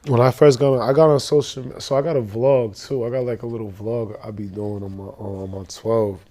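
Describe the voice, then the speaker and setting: A young man from Boston who raps, chatting through an interview at a middle pitch. His voice is dark and mellow. He rambles loosely, full of slang and fillers. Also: steady tone, fairly quick. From Boston, Massachusetts. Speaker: rapper, guest. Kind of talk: casual interview chat.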